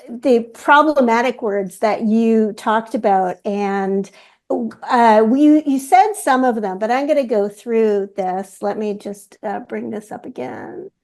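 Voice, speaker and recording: A woman hosting a webinar in a relaxed, rambling way, often correcting herself mid-sentence. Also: on the high side. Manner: rambling, self-correcting, chatty. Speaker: webinar host. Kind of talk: casual panel discussion.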